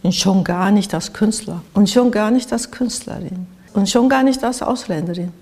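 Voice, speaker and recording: An older woman from Japan with a Japanese accent, giving reflective interview answers haltingly as she searches for words. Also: mid-range voice, darkish tone, husky. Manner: halting, searching for words in non-native phrasing. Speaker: interviewed artist. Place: art studio in Berlin, indoors. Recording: reverberant, echoey room.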